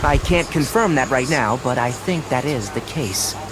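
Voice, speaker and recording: Composed researcher in noisy game audio. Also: female voice, very deep voice, expressive. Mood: analytical. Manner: measured, formal, clinical. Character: composed, analytical researcher, stern and matter-of-fact. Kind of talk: scripted video game dialogue.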